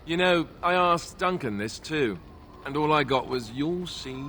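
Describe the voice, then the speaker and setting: An actor playing a wry, boyish, self-deprecating young warrior in a video game, speaking in a conversational, sardonic way. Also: male voice, fairly high pitch, animated, a little slow, a bit bright, a little hiss or hum. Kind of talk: video game character dialogue.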